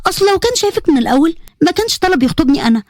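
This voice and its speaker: Audio-story rival: spiteful young Egyptian woman, high pitch, rapid delivery female narrator acting. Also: sing-song, a little mellow, no room sound, clean studio sound. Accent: Egyptian Arabic. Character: jealous, spiteful, haughty younger sister.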